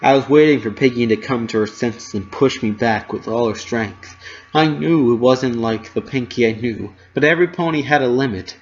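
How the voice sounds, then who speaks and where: A man's voice: mid-range voice, normal speed. A man narrating a story as an earnest, emotive dramatic reading, on a dull recording. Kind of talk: dramatic reading of fan fiction.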